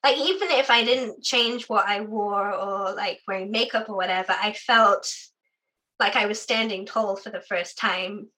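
Bright-voiced young guest from the UK. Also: female voice, medium pitch, a little slow, poor audio. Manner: chatty, frequent "like" fillers, laughs often. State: sore voice. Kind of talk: casual interview conversation.

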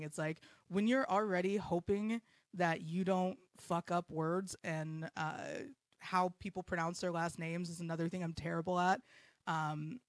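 A woman's voice: lowish voice, dry recording, clean studio sound. A woman in her thirties hosting her own podcast live from her home basement, talking in a blunt, self-deprecating way and swearing freely. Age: 30s. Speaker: podcast host. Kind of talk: casual live podcast monologue.

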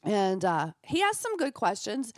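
A woman co-hosting a casual chat, telling stories in a lively way, a bit hoarse. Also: mid-range voice, unhurried, no echo, studio quality. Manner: storytelling, lively. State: hoarse from coughing. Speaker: co-host.

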